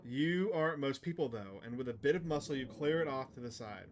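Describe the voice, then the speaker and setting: A man's voice: mid-range voice, lively intonation, fairly quick, a little hiss or hum. A man giving casual game commentary as a streamer, muttering half-thoughts and reading on-screen story text aloud. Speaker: streamer playing a visual novel.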